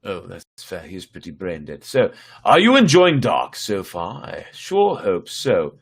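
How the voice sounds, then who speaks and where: A man's voice: deep voice, wildly swooping pitch, a little slow, gravelly, husky. A man hosting a livestream in casual, chatty style. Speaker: livestream host. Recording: music behind the voice.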